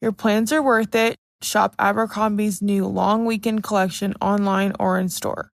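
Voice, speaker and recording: A woman's voice: medium pitch, level tone, moderate pace, gravelly. A woman announcer reading a scripted fashion advertisement.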